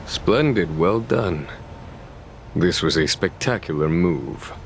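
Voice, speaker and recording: An actor playing a shrewd, unruffled general in a video game, wry and commanding. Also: male voice, deep voice, expressive, vocal fry, slight background noise, exaggerated voice. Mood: amused. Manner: deliberate, wry, authoritative.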